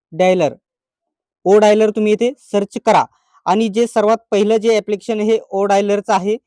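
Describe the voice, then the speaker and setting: A man's voice: high voice, darkish tone, no room sound. Marathi man giving dry-sounding tutorial narration. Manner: instructional, repeats each step. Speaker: tech tutorial presenter. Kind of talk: step-by-step phone tutorial narration.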